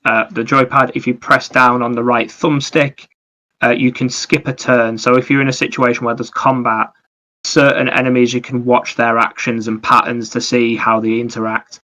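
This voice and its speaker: Man with a bright, even-pitched voice. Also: normal speed, lo-fi sound. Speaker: podcast co-host. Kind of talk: casual gaming discussion.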